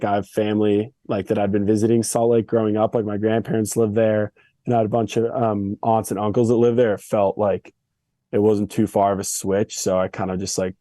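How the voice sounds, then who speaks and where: A young man who grew up in Switzerland, chatting casually as an interview guest in a relaxed, slangy way full of fillers. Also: fairly low pitch, no room sound. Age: young adult. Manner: laid-back, filler-heavy, slangy. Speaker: guest, Olympic freestyle skier. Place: family home in Switzerland.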